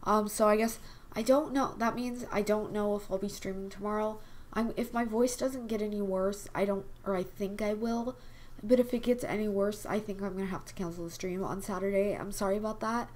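A young woman hosting a casual game video, her voice hoarse and quiet from a cold, rambling with lots of fillers. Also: mid-range voice, fairly even intonation, fairly quick. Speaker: gaming channel host. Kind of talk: casual chat over gameplay. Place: home desk at night.

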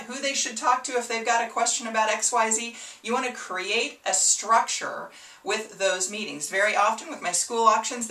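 Upbeat woman in her forties, from Kansas, coaching volunteers to camera, heard a bit off-mic in a small echoey room. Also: medium pitch. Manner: upbeat, animated, gestures toward a whiteboard. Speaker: auction consultant. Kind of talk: enthusiastic advice video. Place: small room with a whiteboard, indoors. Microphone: camera mic at a short distance. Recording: roomy, slightly distant camera audio.

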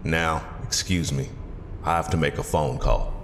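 An actor playing a grave, disappointed older mentor in an audio drama, speaking in a measured, reproachful way. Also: male voice, a bass voice, fairly even intonation, fairly bright tone, slight background noise. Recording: echoing hall under music.

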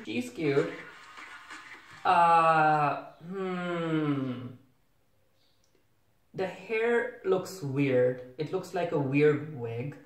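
A man's voice: a little high, lively intonation, very slow, long pauses. A young man gives casual reaction commentary in a bemused, questioning way, often repeating his own words. He is recorded at some distance from the microphone, in an echoey home room. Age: young adult. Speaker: reviewer reacting to a cartoon. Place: small home room with a desk. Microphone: camera a few feet away.

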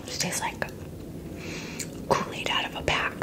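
A woman in her thirties whispering in a hushed, breathy ASMR style, close to the microphone. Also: unhurried. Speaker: ASMR creator tasting candy. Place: small indoor setup with fabric backdrop. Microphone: close tabletop microphone.

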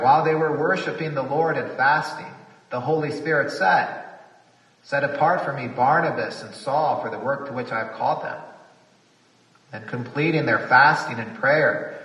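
A man's voice: mid-range voice, bright, forceful tone, echoey room, big-room sound, recorded from a distance, low-quality recording. A man, a priest, preaching a reflective homily at a retreat, repeating phrases for emphasis.

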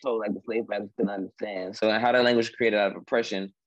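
A young man with a lowish voice, first language Haitian Creole, talks slowly through his art with plenty of fillers. He is heard over a dry, dull video call line from a room at home. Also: fairly even intonation, no room sound. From Washington, D.C. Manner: halting, many fillers. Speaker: artist. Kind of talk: video call artist talk. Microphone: laptop video call.

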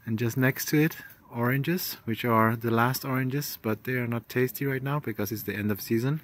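A man's voice: mid-range voice, moderate pace. A man in his thirties chatting casually as he vlogs outdoors on a hillside farm, phone held at arm's length, with fillers and restarts. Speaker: vlogger. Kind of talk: casual travel vlog narration.

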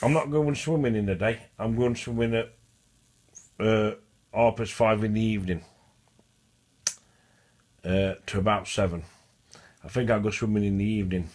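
A man speaks in a fairly low voice, rambling casually to his viewers. He stutters, restarts often and circles back over the same phrases. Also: normal speed, pauses often, darkish tone. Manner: repetitive, restarts and repeats phrases. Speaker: vlogger. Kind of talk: casual rambling monologue to camera.

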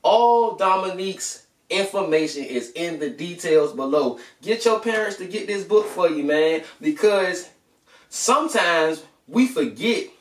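Children's story host: young American male, slightly high voice, informal and familiar, recorded roomy and a bit distant. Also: animated, unhurried. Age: young adult. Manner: informal, slangy, addressing listeners familiarly. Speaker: host of children's read-aloud bedtime story show. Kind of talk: casual chat to children and storybook reading. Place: small room at home, indoors. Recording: echoey room, distant camera microphone.

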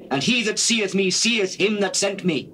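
Solemn male religious teacher, slightly high voice, authoritative, measured declamation, scripture drama, old cassette noise. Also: expressive, sharp tone. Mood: proclaiming. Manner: measured, declamatory, deliberate phrasing. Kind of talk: dramatized King James Bible reading. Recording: noisy old cassette recording.

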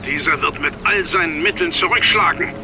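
An actor playing a defiant elder professor who speaks in a stern, commanding way. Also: male voice, a little high, fairly quick, music in the background, natural voice. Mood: stern defiance. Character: defiant elder professor, male, head of an order.

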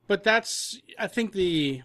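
A man's voice: high voice, lively intonation, takes it slowly, fairly bright tone, no room sound, studio quality. A man explaining his work as a guest in a casual interview, repeating words for emphasis. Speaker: audio drama producer, podcast guest.

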